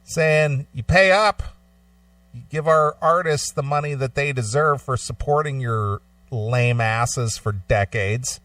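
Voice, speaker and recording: Male talk radio host, blunt and conversational, mid-pitched, dry sound. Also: takes it slowly, fairly bright tone, no room sound. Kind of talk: casual radio talk, reading an article aloud. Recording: slightly distant microphone, dry sound.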